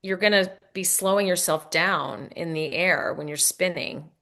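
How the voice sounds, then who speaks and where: A woman's voice: on the low side, unhurried, sharp tone. A woman author speaking as an interview guest, explaining in a casual, rambling way with self-corrections.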